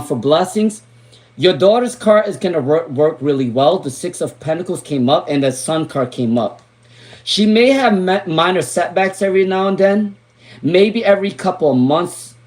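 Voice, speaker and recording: Raspy chatty man reading tarot. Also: a little high, a little mellow. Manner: chatty, drifts into asides and sung snatches. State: voice worn from talking all day. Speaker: tarot reader. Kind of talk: live-stream tarot reading.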